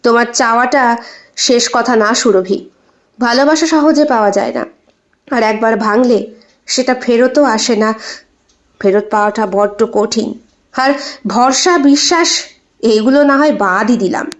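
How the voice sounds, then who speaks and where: A woman's voice: fairly high pitch, unhurried, pauses often, lightly acted. A woman voicing a betrayed husband in an audio story, grave, reproachful and questioning. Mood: grave, bitter. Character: betrayed husband, stern, wounded, disbelieving. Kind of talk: dramatized audio short story.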